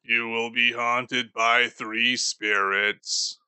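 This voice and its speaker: A man voicing a doomed, grieving male ghost bound in chains, speaking slowly and gravely for an audiobook. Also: medium pitch, level tone, sharp tone, no room sound, full character voice. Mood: mournful. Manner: slow, solemn and grave. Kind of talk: audiobook character voice.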